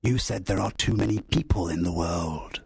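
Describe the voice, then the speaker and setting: A man voicing a husky, solemn spirit. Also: deep voice, normal speed, a little mellow, dry recording, studio quality, heavily acted. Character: kind but stern ancient spirit, solemn.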